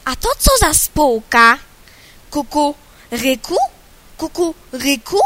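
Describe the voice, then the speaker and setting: A woman voicing an indignant female cuckoo who protests loudly. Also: fairly high pitch, unhurried. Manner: loud, protesting.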